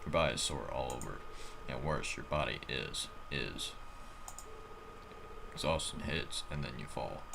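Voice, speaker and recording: A man reading game text aloud in a dramatic narrating style, halting at times. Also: rumbling low, gravelly, noisy background. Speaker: streamer playing a visual novel.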